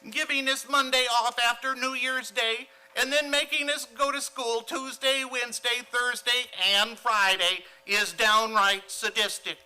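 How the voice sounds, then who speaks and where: A man's voice: extremely high pitch, steady tone, a little slow, hardly any pauses, pushed and bright, clear, resonant tone, heavily acted, low-quality recording. A man playing an eager, indignant teenage schoolboy in an old-time style radio comedy, speaking in an earnest, overdramatic way.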